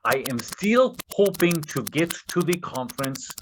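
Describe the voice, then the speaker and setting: An actor playing a desperate Russian scammer in staged readers theater, speaking halting broken English with a Russian accent. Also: male voice, on the high side, very animated, slight background noise, rough recording. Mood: pleading, ingratiating. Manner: halting broken English, muttering asides to himself. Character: desperate Russian male scammer posing as Nigerian suitor.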